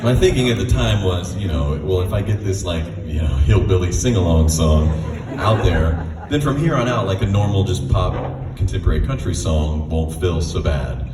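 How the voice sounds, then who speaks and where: A middle-aged American man with a very deep voice, telling stories casually as a singer-songwriter at a live event. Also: lively intonation, moderate pace, over loud music. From United States, Texas. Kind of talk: casual stage storytelling. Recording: echoey hall, distant mic, background noise.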